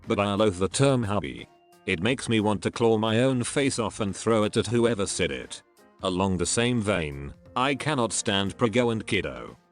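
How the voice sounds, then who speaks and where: A man narrating a series of online posts in a steady read-aloud style. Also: fairly low pitch. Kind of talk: scripted narration.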